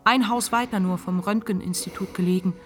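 A woman performs literary narration for a radio drama in a medium-pitched voice. The narrator is sober, reflective and articulate, with soft music underneath. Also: lightly acted. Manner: measured, articulate. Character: sober, reflective narrator recalling the psychiatric ward.

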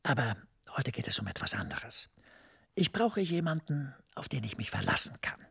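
A gravelly, hushed, scheming professor. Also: male voice, medium pitch, very animated, normal speed, exaggerated voice. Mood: confiding. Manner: hushed, confiding, often dropping to a whisper. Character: scheming male professor, smooth-talking superior. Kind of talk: radio drama acting.

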